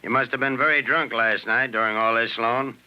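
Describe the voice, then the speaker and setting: An actor playing a skeptical, unyielding police inspector in a 1940s radio drama. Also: male voice, mid-range voice, steady tone, fairly quick, clean tone, close to an everyday voice. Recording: dull old 1940s radio recording.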